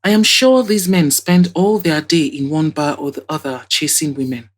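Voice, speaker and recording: A woman's voice: sits low, moderate pace. A woman voicing an outspoken, opinionated young female best friend in an audio drama, blunt and emphatic. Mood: dismissive.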